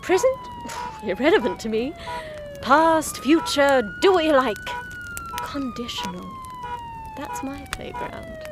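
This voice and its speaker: A woman's voice: very high-pitched, wildly swooping pitch, slow pace, heavily acted. A woman playing a gleeful, mocking villain who styles herself an empress in an audio drama, theatrical and taunting. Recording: noisy scene with sirens behind.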